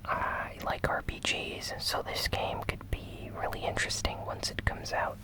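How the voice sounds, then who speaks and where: A host softly whispering a gaming news recap in a breathy, unhurried ASMR style. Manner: slow, soft, unhurried delivery.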